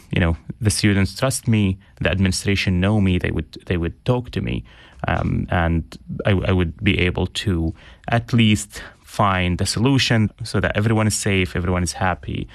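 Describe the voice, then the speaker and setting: Low gravelly-voiced young man, earnest. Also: no room sound. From Syria. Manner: earnest, with frequent ums and restarts. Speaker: interview guest. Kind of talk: reflective interview conversation.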